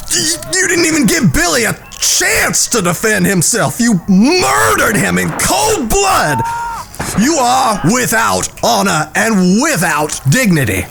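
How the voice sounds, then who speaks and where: A man playing a cornered crooked gambling boss in a western audio drama, gravelly, blustering and in pain. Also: extremely high pitch, background noise, full character voice. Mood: indignant. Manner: blustering, pleading, pained. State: in pain, groaning.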